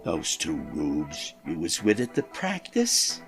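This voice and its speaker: A man's voice: deep voice, huge pitch swings, slow, faint music behind, heavily acted. An actor playing a pushy, menacing 1920s Chicago gangster's henchman with streetwise tough-guy slang in an audio drama. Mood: brusque, businesslike. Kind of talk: period radio drama acting.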